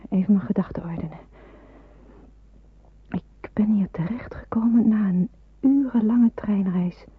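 A woman's voice: fairly high pitch, slightly rough, no room sound, heavily acted. An actress in a Dutch radio drama playing an anxious young woman in hiding, musing and questioning hesitantly. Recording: narrow phone-like old radio recording.

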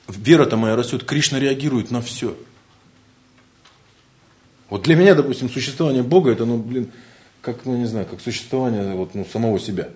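A man from Siberia giving an informal spiritual lecture, joking and colloquial, swinging between loud bursts and quiet asides. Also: mid-range voice. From Siberia, Russia.

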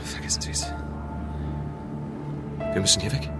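An actor playing a skeptical, blunt and suspicious investigator in a German radio drama, probing and sardonic. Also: male voice, moderate pace, close to an everyday voice. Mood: shaken, suspicious. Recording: loud music behind the voice.

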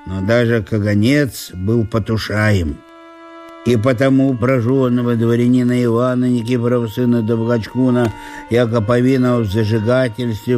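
Self-important aggrieved landowner played by a male actor, florid and solemn, mid-pitched warm voice, radio drama. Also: lightly acted. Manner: pompous, florid officialese, solemnly indignant. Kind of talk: theatrical audio-play monologue.

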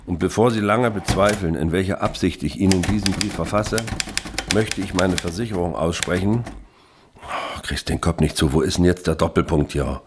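Actor as deep-voiced awkward father at a typewriter. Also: moderate pace, natural voice. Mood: stilted, earnest. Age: middle-aged. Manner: stilted dictation aloud, muttering punctuation and curses. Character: grumpy, awkward father typing a pompous formal letter. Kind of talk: radio drama. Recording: noisy room with typewriter clatter. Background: typewriter typing.

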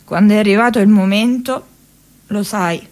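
A woman's voice: medium pitch, moderate pace, a little mellow, lightly acted. An actress playing a restless, lovelorn and self-mocking young woman in a theatrical, emotional radio monologue. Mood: reflective, tender. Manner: theatrical, emotional, with repeated phrases.

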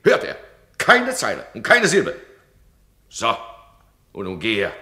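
A bossy stern duke, sharp bright voice, all over the place in pitch, echoey room. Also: male voice, huge pitch swings, slow, heavily acted. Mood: imperious. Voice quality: imperious, scolding, swings loud. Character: domineering stern duke, absolute ruler. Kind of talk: radio play acting. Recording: roomy radio drama studio.

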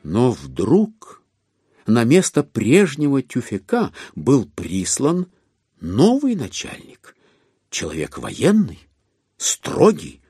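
Animated male narrator with wry irony. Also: medium pitch, breathy edge, no room sound, studio quality. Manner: expressive, storytelling with wry irony. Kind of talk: theatrical scripted narration of classic literature.

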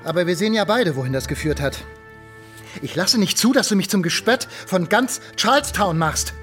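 A man's voice: high-pitched, animated, moderate pace, a bit bright, soft background music. An actor playing a stern, controlling merchant father, middle-aged, proud and commanding, in a German radio drama. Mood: cold, resolute.

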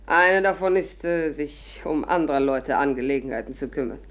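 An actress playing an imperious, curious old stage diva with a declamatory, condescending manner in a 1950s radio play. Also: female voice, low-pitched, moderate pace, close to an everyday voice. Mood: imperious, confiding. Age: older.

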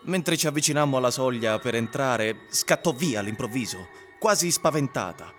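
An actor playing a husband looking back on events, narrating a radio drama in a measured, reflective way. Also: male voice, medium pitch, expressive, a bit bright, faint music behind, natural voice. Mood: tense recollection. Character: reminiscing husband recounting a strange house viewing.

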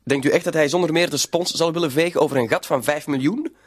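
An actor playing a mocking, menacing blackmailer in a radio play. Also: male voice, fairly high pitch, quick pace, husky. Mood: sneering threat.

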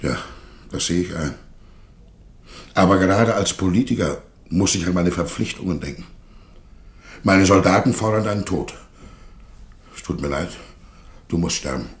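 An actor in a radio play playing a proud but reluctant Germanic prince and warlord, earnest and declamatory. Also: male voice, low voice, moderate pace, pauses often. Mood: reluctant, grave. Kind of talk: theatrical radio play dialogue.

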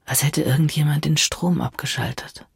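An actress playing a woman narrating a dark, trancelike inner monologue in hushed, breathy, deliberate short phrases. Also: deep voice, fairly even intonation, full character voice. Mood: hushed, eerie. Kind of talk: intimate audio drama monologue.

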